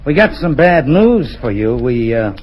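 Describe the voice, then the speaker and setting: A man's voice: mid-range voice, sing-song, a little slow, a little mellow, rough recording. An actor playing a cold, threatening gangster who takes a man hostage, in old-time radio acting. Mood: menacing. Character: menacing male gangster holding a hostage.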